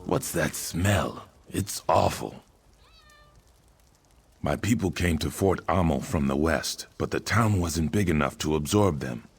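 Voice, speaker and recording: A man playing a proud, aggrieved reptilian warrior in a video game, speaking in a solemn, deliberate way. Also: very deep voice, husky, heavily acted. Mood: grave, respectful. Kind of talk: video game character dialogue. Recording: music behind the voice.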